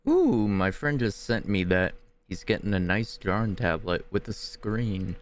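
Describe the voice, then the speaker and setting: A man's voice: lowish voice, sing-song, unhurried. A man streaming a game, chatting casually and joking at the characters. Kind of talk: casual gameplay commentary.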